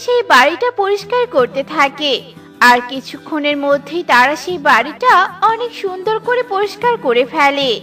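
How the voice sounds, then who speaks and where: Bright-voiced woman telling a kids' story. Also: high-pitched, sing-song, moderate pace. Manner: simple, storytelling. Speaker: narrator. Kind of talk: storybook narration for a children's cartoon. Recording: children's cartoon with music behind.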